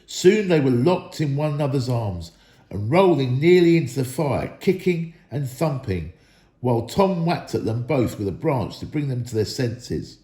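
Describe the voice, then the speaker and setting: A man narrates a storybook dramatically, with lively swings in pitch. His tone is soft-edged and mellow, and the room adds a clear echo. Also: normal speed. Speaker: school staff member reading aloud. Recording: echoey room.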